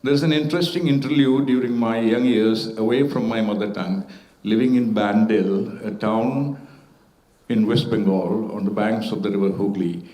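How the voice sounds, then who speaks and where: A man's voice: fairly low pitch, mellow. Guy on a festival panel, careful formal speech, bit of um and uh, echoey hall sound. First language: Malayalam. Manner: measured and formal, with occasional hesitations. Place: auditorium at a literature festival.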